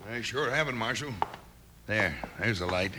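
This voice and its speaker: An actor playing a hearty, insistent American mine boss in an old radio western. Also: male voice, fairly low pitch, expressive, moderate pace, natural voice. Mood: hearty, reassuring. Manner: smoothly insistent, repeats himself. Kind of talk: 1950s radio western acting. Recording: noisy old radio recording.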